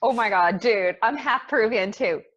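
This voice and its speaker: Woman, Canadian accent, fairly high pitch, casual slangy host, excitable, slightly muffled recording. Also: normal speed. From Montreal, Canada. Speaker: podcast host. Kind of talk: casual chatty podcast interview.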